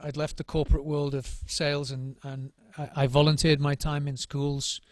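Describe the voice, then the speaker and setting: Reflective man, slightly high, dry sound. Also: level tone, normal speed, no echo. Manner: searching for words, many restarts and repeated words. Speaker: drum circle facilitator and trainer. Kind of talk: reflective interview answers.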